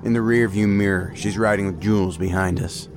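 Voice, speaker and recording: A male counterterrorism agent in a sci-fi audio drama, with a low voice. He is blunt and impatient, skeptical of his partner and sardonic about it. Music runs clearly underneath. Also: steady tone, a little fast. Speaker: federal agent.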